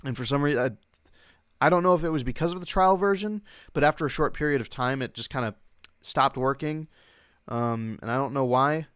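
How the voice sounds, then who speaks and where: Clear-voiced young man chatting on a livestream. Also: medium pitch, a little fast, fairly bright tone, no room sound, studio quality. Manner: rambling, hesitant, self-interrupting. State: occasional coughing from long covid. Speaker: livestream host. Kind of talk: casual livestream chat.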